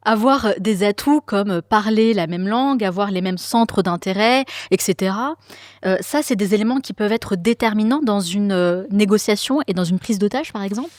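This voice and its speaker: Host, woman, mid-pitched, inquisitive and hesitant, close dry studio sound. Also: moderate pace, darkish tone, no echo. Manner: curious, prompting questions with fillers. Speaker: podcast host, interviewer. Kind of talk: conversational interview.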